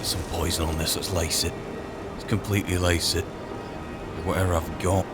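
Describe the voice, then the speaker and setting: A man's voice: little pitch movement, normal speed, loud music behind the voice. A man giving understated, in-character commentary as he roleplays through a fantasy video game, often muttering to himself. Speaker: gameplay roleplayer and narrator. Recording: loud game ambience and rumbling behind.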